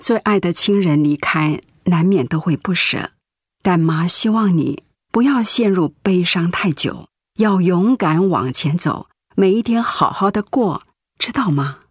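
Husky, gentle ailing mother. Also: lowish voice, lively intonation, measured pace, dry recording, studio quality. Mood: consoling. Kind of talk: Mandarin radio drama acting.

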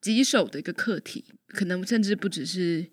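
A woman's voice: fairly low pitch, moderate pace, breathy edge, no echo. A woman with a Taiwanese Mandarin accent speaks as an academic guest in a casual interview, phrasing her thoughts carefully and tentatively. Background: music.

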